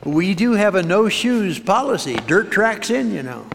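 High-voiced fussy neat freak in a hall. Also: male voice, sing-song, normal speed, creaky, a bit bright, heavily acted. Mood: prim welcome. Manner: prim, politely insistent. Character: fussy, cleanliness-obsessed man welcoming a guest. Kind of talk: live stage comedy acting. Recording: noisy, long-echo live theater stage.

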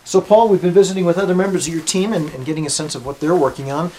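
A man's voice: a little high, racing, mellow, a little hiss or hum, low-quality recording. A man co-hosting a podcast with casual chat while visiting offices. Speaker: podcast co-host. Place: offices, indoors.

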